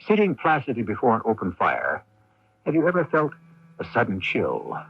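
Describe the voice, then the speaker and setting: A man hosting a radio mystery series with dramatic, deliberately phrased suspense narration. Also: on the low side, huge pitch swings, husky. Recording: muffled early-1980s AM radio broadcast.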